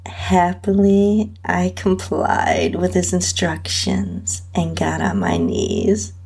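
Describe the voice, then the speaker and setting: Female narrator for intimate adult fiction: lowish, breathy and husky, with an expressive, swooping melody and lingering delivery. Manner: breathy, sensual, drawn-out delivery with soft moans. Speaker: podcast host and narrator reading own story. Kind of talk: intimate first-person erotic story narration.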